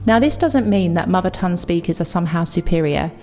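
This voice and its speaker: A woman with a London accent, an English teacher delivering a scripted, reflective video essay with clear opinions. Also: fairly low pitch, expressive, quick pace, darkish tone, faint music behind. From England.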